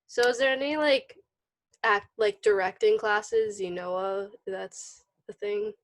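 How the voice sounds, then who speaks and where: A young female acting student chatting casually, in a hesitant, halting way. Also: mid-range voice, steady tone. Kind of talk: casual chat.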